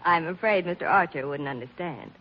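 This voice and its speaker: An actress playing a polite, helpful young foundation worker in a 1940s radio drama, with an American accent and proper diction. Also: female voice, lowish voice, a little fast, a little hiss or hum, lightly acted. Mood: apologetic. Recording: muffled 1940s radio recording.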